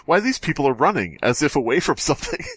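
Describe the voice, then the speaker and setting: A man's voice: a little high, sing-song, fast, fairly bright tone, slightly rough, no room sound. A man hosting a podcast, reading a horror story aloud and breaking off with joking asides. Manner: jokey, breaks off to comment. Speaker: podcast host.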